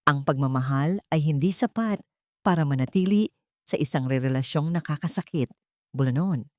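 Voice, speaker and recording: A woman's voice: sits low, moderate pace, a little mellow, no room sound, clean studio sound, natural voice. A woman voicing a composed, firm wronged wife in a narrated drama story. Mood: firm, hurt. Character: calm, resolute wronged wife.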